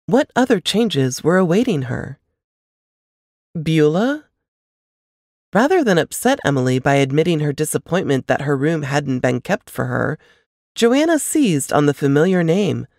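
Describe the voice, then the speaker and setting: A woman reading a novel aloud as an expressive scripted narration. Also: low-pitched, moderate pace, dry recording, studio quality.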